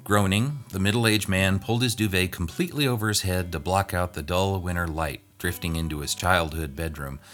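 Male narrator for a scripted story, deep voice, light plucked-string music behind. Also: brisk, few pauses, a bit bright. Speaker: guest reader.

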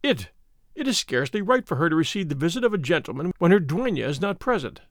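A man's voice: on the high side, sing-song, fast, no echo, clean studio sound. Man doing a nervous, hesitant house servant. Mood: nervous protest. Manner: hesitant, apologetic.

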